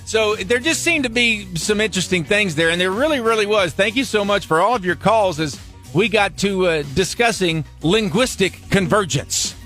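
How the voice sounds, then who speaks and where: A man's voice: sits high, animated, normal speed, sharp tone, faint music behind. A man from Missouri co-hosting a radio morning show, chatty and upbeat, now and then singing a phrase.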